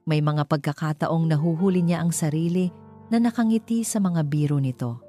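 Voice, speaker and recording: A woman's voice: deep voice, moderate pace, mellow, soft background music. A woman with a Filipino accent reading a scripted romance story as its narrator.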